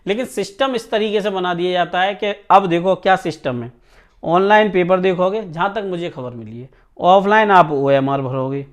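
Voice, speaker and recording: Male coaching teacher, notably high voice, emphatic informal explainer. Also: normal speed. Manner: emphatic, repeatedly checks listeners understand. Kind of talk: informal exam news talk to students.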